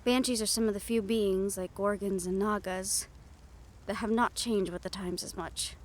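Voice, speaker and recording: A woman's voice: mid-range voice, fairly even intonation, moderate pace, slight background noise, close to an everyday voice. A woman playing a young, wry and affectionate siren-banshee hybrid in an intimate roleplay audio drama, talking in a teasing, conversational way. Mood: wry, amused. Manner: soft-hearted, teasing, conversational storytelling.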